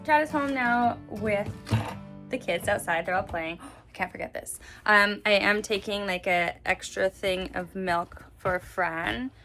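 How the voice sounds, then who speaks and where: A woman's voice: mid-range voice, measured pace, bright, forceful tone, soft background music. A young woman chats casually in a family vlog, thinking aloud as she goes about a task at home. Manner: informal, thinking aloud while busy. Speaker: vlogger and mother of two young children. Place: indoors at home. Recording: clearly noisy home recording with clicks.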